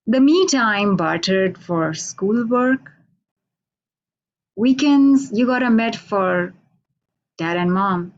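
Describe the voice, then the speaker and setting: A woman from India with an Indian accent, a Hindi native speaker, giving a halting, unhurried poetry reading over a video call from a radio station office. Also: mid-range voice, animated, lo-fi sound. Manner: unhurried, halting, with many fillers. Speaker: poet.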